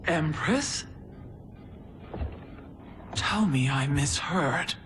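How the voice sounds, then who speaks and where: Deep, slow, stern warrior queen. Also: expressive, a little hiss or hum, exaggerated voice. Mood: reproachful. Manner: grave, deliberate, earnest. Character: stern, honourable warrior queen, reproachful ally. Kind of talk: scripted video game cutscene acting. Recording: loud cinematic music behind voice.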